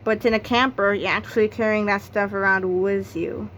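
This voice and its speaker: A woman's voice: medium pitch, steady tone, moderate pace, a bit bright, a little hiss or hum, low-quality recording. A woman narrating a read-aloud of a children's comic novel, acting out its exclamations and sound effects. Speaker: book narrator.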